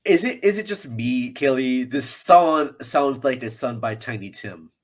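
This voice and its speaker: A man's voice: mid-range voice, expressive, measured pace. A man chatting casually about films as a podcast guest, rambling and enthusiastic. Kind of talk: casual film discussion.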